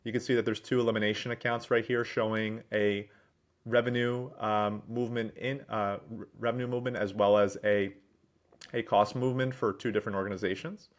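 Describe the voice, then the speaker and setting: A man with a fairly low-pitched voice walks through a piece of software on a screen share. He speaks as a presenter, explaining each step in a businesslike, wordy manner. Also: little pitch movement, brisk. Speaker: presenter, managing director at a software consultancy.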